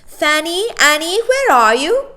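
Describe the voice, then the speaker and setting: A haughty storybook nanny, acted by a woman reading aloud, curt and scornful. Her pitch swings widely as she issues crisp, imperious remarks. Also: very animated, unhurried, bright, forceful tone, exaggerated voice. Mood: calling out. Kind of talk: children's storybook character voice.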